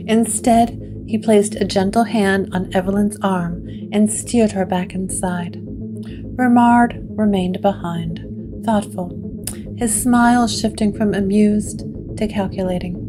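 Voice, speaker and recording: A woman narrating a gothic drama in a slow, hushed, dramatic way. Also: medium pitch.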